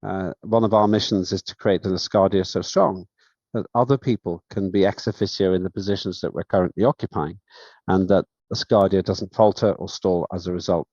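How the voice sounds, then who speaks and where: Low-voiced man chairing a parliament. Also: fairly even intonation, a little mellow, dry recording. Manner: measured, halting with many fillers. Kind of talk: formal remarks at an online session. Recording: dull, dry lo-fi sound.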